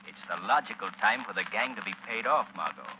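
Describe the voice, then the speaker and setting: A muffled vintage radio play. a shrewd male crime-fighter with a very sharp, forceful edge. He rattles out crisp orders with quick authority. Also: lowish voice, animated, exaggerated voice. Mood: resolute. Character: shrewd, commanding crime-fighting vigilante, adult man. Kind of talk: 1930s-40s radio drama acting. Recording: muffled, noisy old radio recording.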